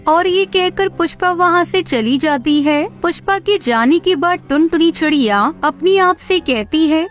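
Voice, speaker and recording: Very high-pitched woman storyteller. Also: unhurried. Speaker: narrator. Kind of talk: scripted children's moral story narration. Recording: music bed behind the voice.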